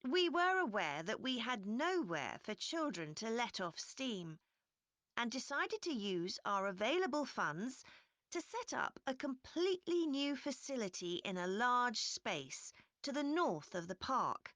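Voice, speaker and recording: A woman playing a park representative giving a measured, scripted informational presentation to visitors. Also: on the high side, sing-song, fairly bright tone, dry recording. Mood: informative. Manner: measured, clearly explanatory. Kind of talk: scripted informational presentation, listening test recording.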